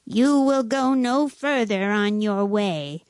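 A woman playing a high-pitched, petulant little boy. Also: lively intonation, slow pace, mellow, no echo. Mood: cold, aggravated. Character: petulant five-year-old boy Jesus, irritable and imperious. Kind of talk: dramatic storybook read-aloud.

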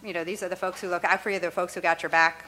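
A woman's voice: on the low side, very fast. Talkative woman, native Arabic speaker. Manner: lively, talks with "you know" and "right?", laughs lightly. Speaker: interviewee. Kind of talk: conversational explaining.

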